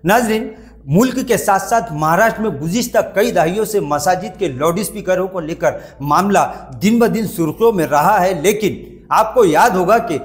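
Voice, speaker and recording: A man's voice: sits high, moderate pace. A man anchoring a news report, reading formally and addressing the viewers directly.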